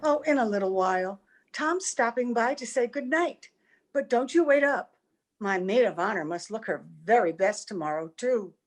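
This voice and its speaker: Dreamy young bride, played by an actress, high-pitched, naive and emotional, old-time radio style. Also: female voice, expressive, normal speed. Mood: affectionate, concerned. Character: romantic, breathlessly happy young bride, naive and anxious.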